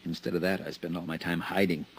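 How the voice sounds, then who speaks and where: An actor playing a nervous, hunted male embezzler in a 1950s radio drama, edgy and halting. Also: deep voice, flat delivery, breathy edge.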